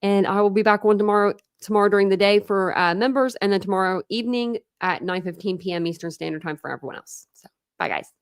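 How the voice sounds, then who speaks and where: A young woman, chatting casually on a livestream and rambling with lots of fillers, her voice going hoarse. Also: mid-range voice, fast, dry recording. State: losing her voice, throat clearing. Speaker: true crime streamer.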